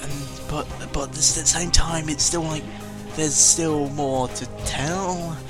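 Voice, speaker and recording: A man's voice: a little high, fairly even intonation, takes it slowly, music in the background. A man talking casually in a rambling, hesitant audio log, grumbling and giving blunt opinions. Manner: hesitant, meandering, opinionated grumbling with mild swearing. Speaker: gaming vlogger.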